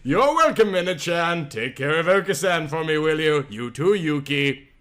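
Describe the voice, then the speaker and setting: A solemn, benevolent spirit maiden acted by a man in a fantasy story reading. The delivery is ceremonious, slightly high in pitch. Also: lively intonation, moderate pace, a bit bright. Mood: kindly. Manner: formal, ceremonious. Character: solemn, benevolent long-haired spirit maiden granting gifts. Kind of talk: dramatic amateur story reading.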